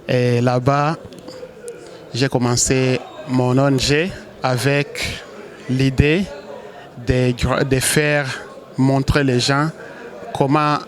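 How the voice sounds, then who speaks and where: A man from Congo, a football manager, answering interview questions in a halting way with many restarts and pauses. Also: mid-range voice. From Congo (DRC).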